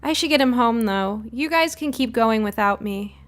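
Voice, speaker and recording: A woman's voice: fairly high pitch, expressive, normal speed, lightly acted. A woman voicing an earnest, frightened young girl in a narrated spooky story. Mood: worried. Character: young girl, frightened trick-or-treater with a pet cat.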